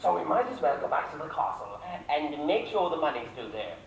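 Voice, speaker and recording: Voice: medium pitch, big pitch swings, fairly quick, gravelly. An actor playing a sly pantomime schemer. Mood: scheming. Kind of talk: amateur pantomime stage acting. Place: theatre stage with live audience. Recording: echoey theatre hall, audience, music.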